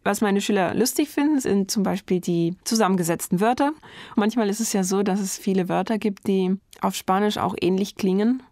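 German-born woman in her 30s, a language teacher, talking through her answers calmly, dry close sound, mid voice. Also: mid-range voice, no room sound. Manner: thoughtful, measured, enthusiastic about teaching. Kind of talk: portrait interview answers.

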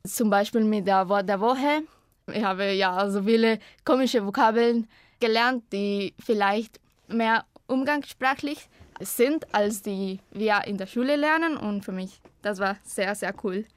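A woman's voice: medium pitch, a little slow, clean tone, no room sound, studio quality. Young woman speaking learner German. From Costa Rica. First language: Spanish. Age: 20s. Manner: hesitant, searching for words. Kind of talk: personal self-introduction.

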